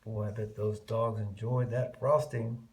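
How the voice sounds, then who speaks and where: A man reading a picture book aloud to young children in an expressive way, stretching key words and adding chatty, murmured asides. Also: fairly low pitch, unhurried, slight background noise.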